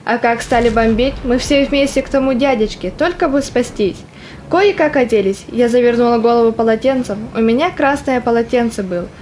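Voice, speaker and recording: A woman's voice: fairly high pitch, fairly quick, a little mellow, natural voice. A woman in an audio play acting a young, stubborn woman soldier who tells of the war in plain words. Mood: earnest recollection. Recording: faint background noise and ambience.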